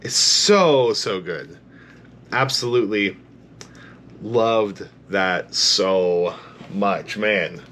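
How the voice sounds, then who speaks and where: Low-voiced man gushing about a song. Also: lively intonation, extremely slow, pauses often, fairly bright tone, slight background noise. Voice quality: low bass voice. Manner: enthusiastic, rambling, many "like" fillers. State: tired late at night. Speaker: music reaction YouTuber. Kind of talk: casual reaction commentary.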